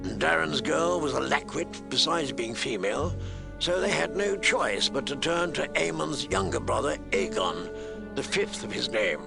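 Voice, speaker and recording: A man voicing a gruff old lord commander in an audiobook, bluntly telling a family history. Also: a little high, animated, measured pace, over music, heavily acted. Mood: blunt, wry. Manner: blunt, storytelling. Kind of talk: audiobook character acting.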